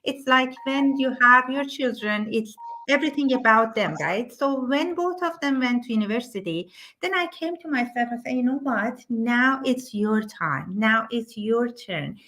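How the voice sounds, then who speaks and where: A woman's voice: a little high, expressive, moderate pace, hardly any pauses, sharp tone, rough recording. A middle-aged woman chatting eagerly in a live online interview, promoting a business with frequent restarts.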